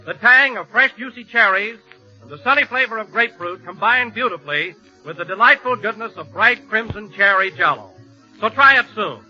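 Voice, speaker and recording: A man's voice: sits high, expressive, brisk, pushed and bright, faint music behind. An American man announcing in an enthusiastic, emphatic 1940s radio commercial style. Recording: muffled vintage 1940s radio broadcast.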